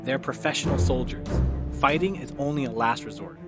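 An actor plays an urgent, practical man on the run in an audio drama. His mid-pitched voice turns exasperated, and music runs underneath. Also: fairly quick, natural voice. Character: urgent, exasperated grown son leading a hunted group.

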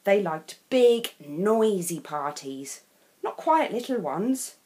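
Very animated, slow female storyteller. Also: medium pitch. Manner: expressive, deliberate, emphatic on key words. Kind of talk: scripted storybook narration for children.

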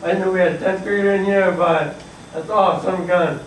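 A man's voice: high voice, steady tone, normal speed. A teenage boy giving a nervous, stumbling class presentation in a school classroom, recorded by a camera across the room. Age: teens. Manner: nervous, laughing, stumbling over words. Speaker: student presenter. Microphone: far camera. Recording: echoey classroom from far off, faint hiss.

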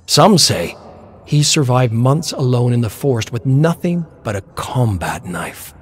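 A man's voice: mid-range voice, animated, measured pace, breathy edge. A man reading a scripted story as narrator, in a dramatic style.

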